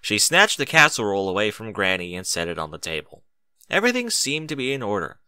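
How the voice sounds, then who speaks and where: A man reading a story aloud as an expressive narrator. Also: lowish voice, fast, clear, resonant tone, no echo. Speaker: narrator of a fan fiction reading.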